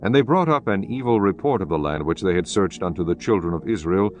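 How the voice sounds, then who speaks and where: A man narrating scripture from a script in a solemn, measured manner. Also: low voice, expressive, a little mellow, muffled recording, faint music behind.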